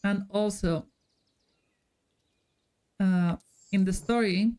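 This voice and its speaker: A woman streamer talks to her chat in a friendly, relaxed way. Her voice is dark and mellow. She speaks slowly and takes her time. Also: mid-range voice. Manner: friendly and unhurried, chatting directly to chat. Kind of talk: casual chat with stream viewers while playing.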